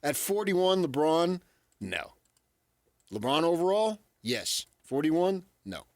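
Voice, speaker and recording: A man's voice: on the high side, very animated, slow pace, dry recording. A man co-hosting sports talk radio, arguing opinions emphatically and repeating his points.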